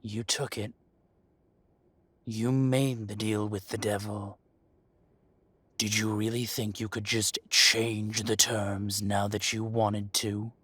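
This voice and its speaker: A man playing a menacing, possessive dragon-shifter mafia boss, speaking in slow, condescending threats in a dramatic audio roleplay. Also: fairly low pitch, little pitch movement, pushed and bright, slightly rough, no room sound, full character voice. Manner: slow, deliberate, condescending threats with heavy pauses. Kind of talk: dramatic roleplay monologue addressed to the listener.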